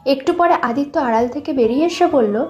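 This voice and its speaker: A woman narrates a scripted romantic story. Her voice is high, and soft music plays underneath as she tells it. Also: a little fast.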